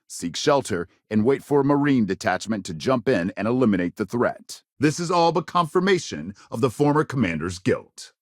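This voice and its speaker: Dramatic male sci-fi newscaster, lively pitch. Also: no echo, studio quality. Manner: punchy, theatrical newsreader delivery. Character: polished, dramatic male sci-fi news broadcaster. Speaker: news reporter. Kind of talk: scripted news broadcast narration.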